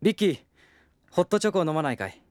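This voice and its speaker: A man voicing a gentle, patient young detective who speaks softly and reassuringly. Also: a little high, very animated, fast talker, dry recording, clean studio sound. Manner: soft, kind, reassuring. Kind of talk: anime drama CD acting.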